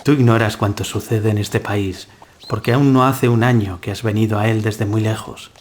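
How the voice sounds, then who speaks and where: A man's voice: lowish voice, steady tone, moderate pace, a little mellow, slight background noise, lightly acted. Man acting solemn young nobleman. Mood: courteous. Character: young Castilian nobleman and hunter, solemn, courtly storyteller. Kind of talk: dramatised gothic audio story.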